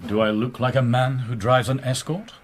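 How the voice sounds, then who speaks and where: An actor playing an arrogant, imperious brewery heir in a radio drama, curt and haughty, with a husky voice. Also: male voice, mid-range voice, fairly even intonation, moderate pace, close to an everyday voice. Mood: scornful.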